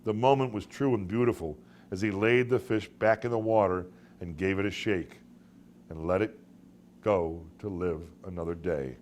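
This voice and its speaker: Low-voiced man reading a story aloud. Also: normal speed, darkish tone, a little hiss or hum. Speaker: author reading own short stories. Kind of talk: dramatic literary audiobook narration.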